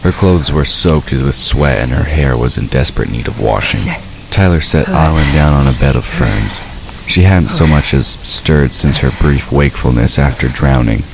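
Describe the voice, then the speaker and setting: A man's voice: rumbling low, moderate pace, gravelly, background noise. A man narrating a scripted fantasy story for an audio drama.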